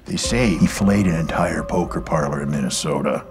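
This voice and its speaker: An actor playing a menacing male thug boss with a slow, ominous delivery. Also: extremely low pitch. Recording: film audio under music.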